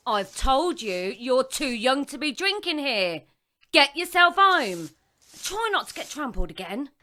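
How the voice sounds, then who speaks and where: Woman doing bossy pub landlady, high sharp voice, scolding, sharp tongue, theatre acting. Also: very animated, measured pace, full character voice. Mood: bossy, mocking. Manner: brusque, scolding. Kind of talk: stage drama acting.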